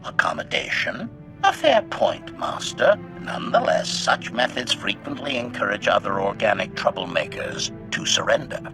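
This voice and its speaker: A man's voice: lowish voice, very animated, normal speed, over music. An actor voicing a cold, formal male assassin droid in a video game, speaking in clipped phrases that each open with a one-word label. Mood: cool. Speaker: combat droid companion.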